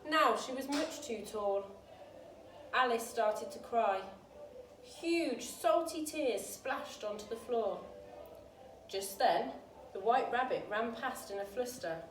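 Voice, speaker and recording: A woman reading a children's bedtime story aloud in an expressive, dramatic storytelling style. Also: on the high side, slow pace. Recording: roomy, distant mic with faint noise.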